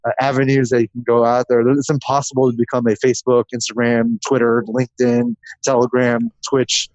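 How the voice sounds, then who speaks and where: A man's voice: mid-range voice, little pitch movement, brisk, darkish tone, dry recording, dull audio. A man speaking as a podcast guest in a casual interview, explaining things in a rambling way with lists. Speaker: podcast guest, growth marketer.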